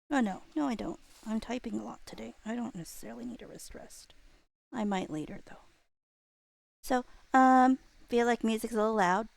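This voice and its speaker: A woman's voice: medium pitch, vocal fry, no room sound. A woman hosting a livestream in casual chat, thinking aloud in a scattered, playful way. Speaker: live streamer host.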